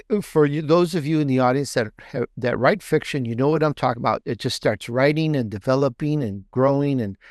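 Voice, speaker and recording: A man's voice: on the high side, darkish tone, no room sound, studio quality. A man speaking as a guest author in a conversational interview, explaining things in an enthusiastic, rambling way. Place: video interview with book backdrop.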